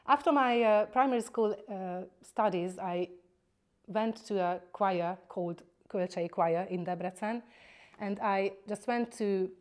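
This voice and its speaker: A woman in her forties from Hungary with a Hungarian accent, a singing teacher introducing herself in a measured, slightly hesitant way. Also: medium pitch. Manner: measured, plain and a little hesitant. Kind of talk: personal introduction.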